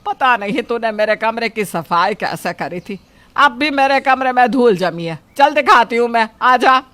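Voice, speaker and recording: A bossy older woman as a performer acts her playing the household's mother-in-law in a story. The voice is sharp and mid-pitched, always commanding and finding fault. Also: expressive, moderate pace. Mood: scolding. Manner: scolding, commanding.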